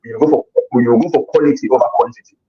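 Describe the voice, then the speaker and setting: A man's voice: mid-range voice, fast. A man, answering interview questions in an earnest, casual way, often repeating words as he thinks. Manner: earnest, repeats himself while searching for words. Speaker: interview guest. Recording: dull lo-fi recording.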